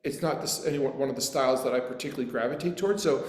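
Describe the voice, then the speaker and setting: A man's voice: medium pitch, very fast. A man hosting a podcast interview, asking rambling, hesitant questions with fillers. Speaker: podcast host. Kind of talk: casual interview chat. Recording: roomy echo with long reverb.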